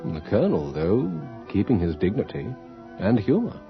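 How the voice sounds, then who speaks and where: An actor playing a courtly, devoted gentleman confidant in an old-time radio drama, formal and solicitous. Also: lowish voice, wildly swooping pitch, moderate pace. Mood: observant. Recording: dull old radio recording under music.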